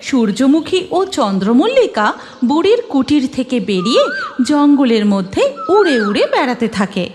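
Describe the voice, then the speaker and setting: High, animated woman storyteller. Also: moderate pace, noisy background. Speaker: narrator. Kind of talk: scripted children's story narration.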